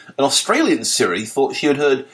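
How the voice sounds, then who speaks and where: A man narrating a written article for a podcast, reading with a lively, articulate delivery and dry wit. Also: medium pitch, normal speed.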